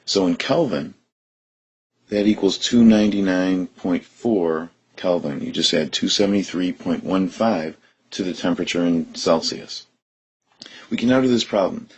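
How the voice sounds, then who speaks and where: Deep husky man teaching chemistry. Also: measured pace, poor audio. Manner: steady, methodical, talks through steps. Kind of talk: recorded lesson explanation.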